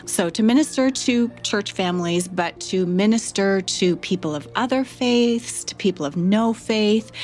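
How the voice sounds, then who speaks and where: A woman speaking in an interview about her work, earnest and measured. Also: medium pitch, a little mellow. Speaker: podcast creator. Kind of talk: interview reminiscence.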